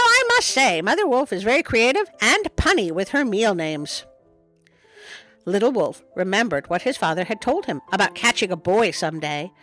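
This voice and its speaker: Expressive woman storyteller, lively pitch. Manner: playful, expressive, self-interrupting. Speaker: storytime host and reader. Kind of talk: picture-book storytelling with chatty asides.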